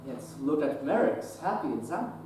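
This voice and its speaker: A young man playing a pious Victorian bishop in a stage play, earnest and gentle. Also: on the high side, sing-song, normal speed, slight background noise. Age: young adult. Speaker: stage actor. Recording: echoey theater from far off.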